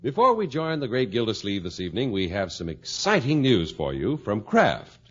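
A man's voice: lowish voice, huge pitch swings, moderate pace, a bit bright, slightly rough, faint music behind. A man announcing for a 1950s radio show in a polished, emphatic sales style. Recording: muffled vintage radio recording.

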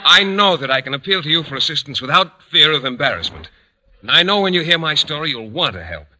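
A man's voice: a little high, animated, fast talker, pushed and bright, exaggerated voice. An actor playing an earnest, naive male biology teacher in an old radio comedy, polite and a little hesitant. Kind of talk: 1940s-50s radio comedy acting. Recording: noisy old radio recording with clicks.

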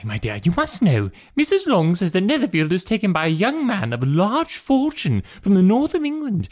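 Man putting on a high, swooping, excitable wife. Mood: excited. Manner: gushing, exclamatory, insistent. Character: excitable, pushy middle-aged English wife and mother. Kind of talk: audiobook character voice.

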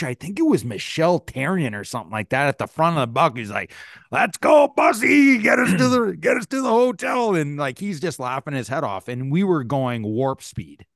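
A man in his forties, a former hockey player co-hosting a podcast, telling stories with lively, halting restarts. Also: fairly high pitch, dry recording, studio quality. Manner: animated storyteller, halting restarts, laughs. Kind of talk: casual storytelling.

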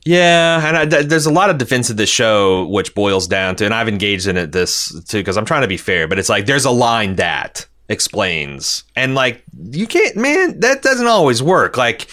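A man's voice: mid-range voice, lively intonation, normal speed, few pauses, pushed and bright. A man hosting a podcast, giving casual, rambling fan commentary and reading out feedback, repeating phrases for emphasis. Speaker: podcast host.

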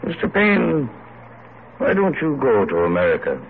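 An actor playing a genial, shrewd elderly gentleman statesman with courtly, measured phrasing, in an old radio drama. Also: medium pitch, wildly swooping pitch, vocal fry. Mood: earnest, persuasive. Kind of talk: 1940s-50s radio drama acting. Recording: tinny, noisy old radio recording.

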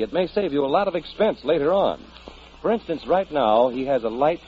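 A young man announcing and reading a scripted commercial in 1940s radio style. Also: mid-range voice. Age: young adult. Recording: muffled, slightly noisy 1940s radio broadcast.

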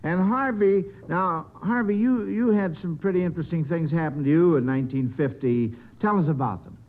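A man's voice: a little high, moderate pace. An older American man hosting a 1950s radio show in a folksy, avuncular way with children. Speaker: radio quiz show host. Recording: muffled vintage 1950 radio recording.

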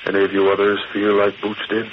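An actor plays a smug male mob boss in a vintage radio play, on a muffled recording with clear hiss. The low voice is oily and falsely jovial, with menace under the tough slang. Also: completely flat delivery, fast, natural voice. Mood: menacing. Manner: oily mock innocence, tough gangster slang. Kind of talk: 1940s radio acting.

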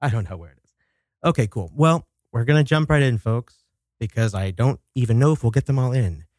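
A man's voice: mid-range voice, expressive, brisk, darkish tone, dry recording, studio quality. A man hosting a casual solo podcast, telling stories dramatically with chatty asides and some swearing. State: coming down with a cold. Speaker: podcast host. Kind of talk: casual solo talk show about horror films.

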